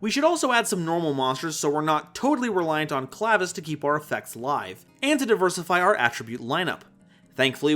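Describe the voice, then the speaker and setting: A man presenting scripted card game commentary in an animated, playful way, with conversational asides. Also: sits high. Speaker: host of a card game analysis channel.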